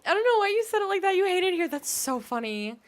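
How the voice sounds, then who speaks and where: Chatty fast-talking woman game streamer. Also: very high voice, very animated, faint music behind. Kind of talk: casual live-stream chat with viewers.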